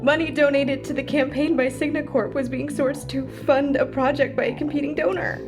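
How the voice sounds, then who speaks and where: Woman playing a high-voiced, frightened young captive. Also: steady tone, fairly quick, over music, close to an everyday voice. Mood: fearful. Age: young adult. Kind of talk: audio drama acting.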